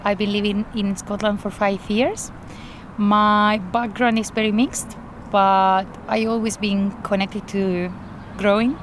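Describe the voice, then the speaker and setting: A woman's voice: medium pitch, little pitch movement, measured pace, slight background noise. A woman from Argentina with an Argentine Spanish accent, a garden coordinator telling her story in an outdoor interview, earnestly and with light laughs. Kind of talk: interview, personal account.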